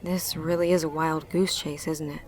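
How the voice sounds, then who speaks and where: A woman's voice: low voice, little pitch movement, moderate pace, darkish tone, a little hiss or hum, natural voice. A woman voicing an unsure, modest young student trainee in a fan-made anime audio drama, polite and hesitant. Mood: concerned.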